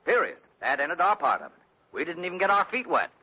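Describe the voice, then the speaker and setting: An actor playing a grudging, irritable attorney with clipped replies in a 1940s-style radio drama. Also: male voice, fairly high pitch, very animated, quick pace, slightly rough. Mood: defensive. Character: testy, grudging male attorney woken from sleep. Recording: dull vintage radio recording.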